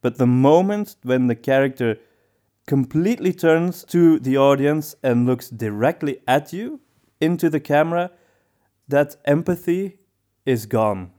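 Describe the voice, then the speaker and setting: A man's voice: fairly high pitch, expressive, measured pace, dark tone. A man in a casual podcast discussion, feeling his way through his thoughts with repeated and rephrased words. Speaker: podcast co-host.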